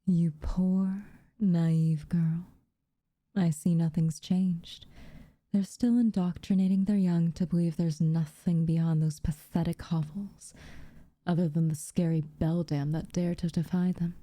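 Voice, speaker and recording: A woman playing an aloof, teasing but secretly tender witch in a soft, intimate ASMR roleplay, with a breathy, half-whispered delivery and dramatic pauses. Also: lowish voice, steady tone, no room sound. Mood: scornful, reassuring.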